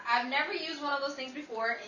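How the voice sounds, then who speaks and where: A woman's voice: high-pitched, fast, sharp tone. A woman playing a skeptical, flustered friend in a 1930s-set comedic radio play, stammering and protesting. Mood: doubtful. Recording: very noisy, echoey distant mic.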